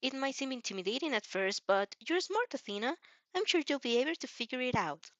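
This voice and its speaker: A woman's voice: sits high, expressive, brisk, fairly bright tone, no room sound, highs cut off, pitched above the performer's own voice. A woman acts out a nervous, good-hearted teenage boy in a fan story reading. The voice is raised and close, with a dry sound. He speaks eagerly, stumbling over words as he reassures and helps. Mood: earnest, reassuring. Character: earnest, helpful, nervous teenage boy student.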